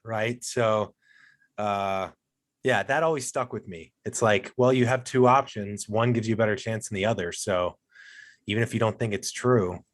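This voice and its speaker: Relaxed, low-voiced man telling stories. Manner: relaxed storyteller with self-deprecating humor. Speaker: podcast guest, co-host of another golf show. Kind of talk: casual interview chat.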